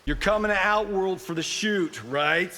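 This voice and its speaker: An actor playing a cocky, glib Hollywood action star in a fighting game, breezy, showy and wisecracking. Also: male voice, high voice, measured pace, sharp tone, in a large hall, a little hiss or hum. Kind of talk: video game pre-fight banter.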